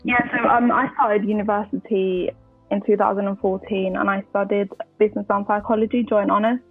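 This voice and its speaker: A woman's voice: mid-range voice, fairly even intonation, fairly quick, dry recording, soft background music. Woman in her twenties on a tinny line. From Colombia, raised in London. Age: 20s. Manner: chatty, many fillers and restarts. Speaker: podcast guest. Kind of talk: casual interview.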